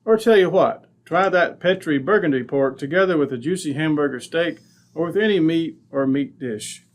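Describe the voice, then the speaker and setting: A man's voice: fairly high pitch, moderate pace. An American man announcing in 1940s radio style, with folksy, emphatic salesmanship.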